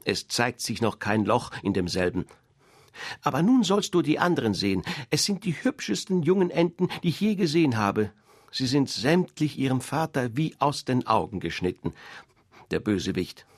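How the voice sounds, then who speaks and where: A fussy, proud mother duck, voiced by a man reading a storybook, fretting and bossing her brood in a shrill, lifted voice. The voice is a little husky, swinging up and down, and the recording is dry and close. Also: very animated, normal speed, dry recording. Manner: shrill, scolding, bossy.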